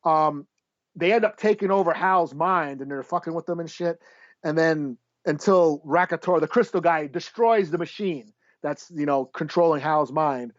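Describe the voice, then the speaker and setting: A man's voice: fairly high pitch, normal speed, dry recording. A man hosting a casual comic book podcast, rambling and sweary as he gropes for names. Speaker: podcast host. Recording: slightly dull, dry lo-fi audio.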